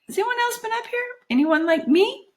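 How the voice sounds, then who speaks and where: A woman's voice: very high-pitched, expressive, fairly quick, pitched above the performer's own voice. Woman voicing a defiant, hypnotic elf captain. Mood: persuasive.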